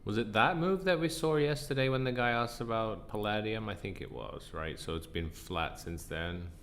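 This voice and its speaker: A man hosting a casual live trading stream, croaky and tired, answering chat questions in a relaxed way. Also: moderate pace, a little hiss or hum. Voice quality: croaky, deep from sinus trouble. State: tired, up a long time. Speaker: livestream trading host.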